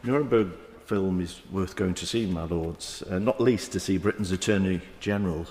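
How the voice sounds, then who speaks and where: Deep-voiced Mancunian man in echoey chamber. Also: a little mellow. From England. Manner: halting, many fillers and restarts. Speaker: member of the House of Lords. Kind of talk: parliamentary debate speech. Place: large echoing debating chamber. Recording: roomy chamber with long reverb.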